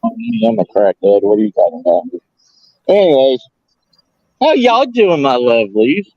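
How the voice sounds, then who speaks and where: A man's voice: mid-range voice, huge pitch swings, moderate pace, dry recording. A man joking around in silly, teasing casual banter over dull, lo-fi audio.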